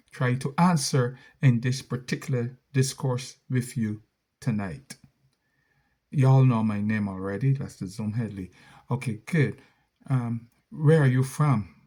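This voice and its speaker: A man's voice: mid-range voice, warm tone. A middle-aged man with a Barbadian accent, a content creator casually answering viewer questions in a rambling, self-correcting way. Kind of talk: casual Q&A monologue.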